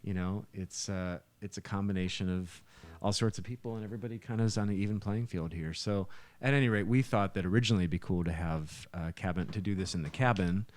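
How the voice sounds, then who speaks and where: A man with a low voice talks casually as a podcast co-host. He speaks in a halting, stop-and-start way, recorded dry in a soundproofed living room. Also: a little mellow, no room sound. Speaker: musician co-hosting a podcast.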